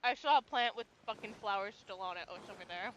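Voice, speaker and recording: A woman's voice: mid-range voice, fast, a bit bright, a little hiss or hum, low-quality recording. A woman streamer chatting casually and bluntly, swearing freely while playing a game.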